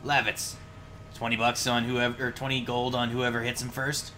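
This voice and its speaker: A man's voice: medium pitch, monotone, normal speed, bright, forceful tone, slight background noise. A man in casual, joking banter over gameplay, sweary and given to exclamations. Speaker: amateur voice actor in a game dub.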